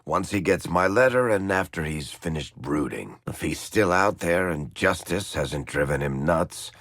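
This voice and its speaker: A male actor voicing a sardonic dwarf rogue and storyteller in a fantasy video game, with a gravelly, husky voice and a dry, teasing, wisecracking delivery. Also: deep voice, animated, measured pace, a bit bright, no echo, exaggerated voice. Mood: matter-of-fact.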